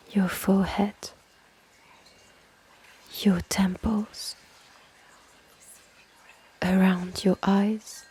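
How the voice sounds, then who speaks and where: Breathy, slow, French-accented protective healer played by a woman. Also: medium pitch, warm tone, heavily acted. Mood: tender, hypnotic. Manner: slow, soothing, breathy, often whispering. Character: calm, protective immortal healer, eldest sister of a witch. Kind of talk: ASMR roleplay.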